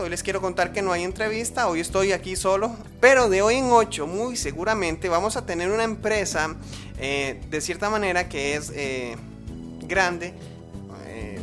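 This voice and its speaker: A man hosting a radio show, chatty and informal, hesitating and repeating words as he goes. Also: sits high. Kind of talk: informal unscripted show introduction. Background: music.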